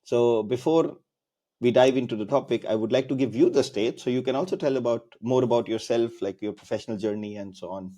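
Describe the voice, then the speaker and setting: A man's voice: medium pitch, brisk, mellow. Casual man hosting a podcast. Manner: friendly, loose, many fillers and restarts. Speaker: podcast host. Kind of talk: casual interview chat.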